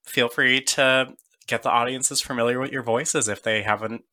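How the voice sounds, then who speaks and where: A man's voice: mid-range voice, fairly even intonation, moderate pace, pushed and bright. A man hosting a podcast, giving a playful, upbeat introduction. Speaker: podcast host. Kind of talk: scripted introduction, casual chat.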